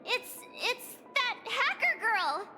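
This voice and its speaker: An actress playing an excitable, skeptical teenage girl adventurer in an anime-style game, expressive and exclamatory. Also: extremely high pitch, slow, exaggerated voice. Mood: indignant. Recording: loud music behind. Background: game music.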